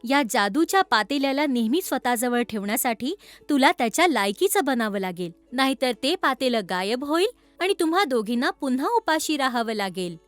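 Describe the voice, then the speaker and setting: High-voiced kind fairy, acted by a woman. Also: animated, moderate pace, no room sound, soft background music. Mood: gentle, instructive. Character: kind fairy granting a magical boon, gentle. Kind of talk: children's cartoon story dialogue.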